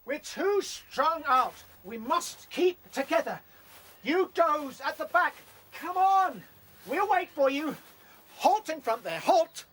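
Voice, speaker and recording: Responsible rabbit chief, earnest, very high, bright forceful tone, radio drama. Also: male voice, sing-song, slow pace, soft background music, full character voice. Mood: firm. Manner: earnest, directing others. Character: responsible male rabbit leader, earnest and courteous. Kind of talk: radio play acting.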